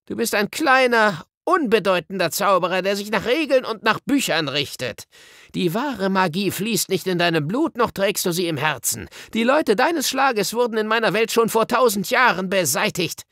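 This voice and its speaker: A man's voice: high-pitched, lively intonation, moderate pace, sharp tone, no room sound, clean studio sound, pitched above the performer's own voice, full character voice. A man voicing an imperious, wrathful witch queen in a storybook, commanding and scornful. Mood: haughty, commanding. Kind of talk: dramatic audiobook reading.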